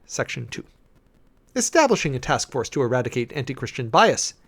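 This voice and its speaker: A man's voice: mid-range voice, big pitch swings, a little fast, fairly bright tone. Man reading an official document dramatically. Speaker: preacher, host of a dramatic reading series.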